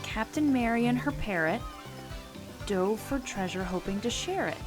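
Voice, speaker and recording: A woman's voice: a little high, expressive, normal speed, music in the background, close to an everyday voice. A woman playing a curious, enthusiastic and slightly bossy adventurer in an audio drama. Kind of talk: scripted audio adventure acting.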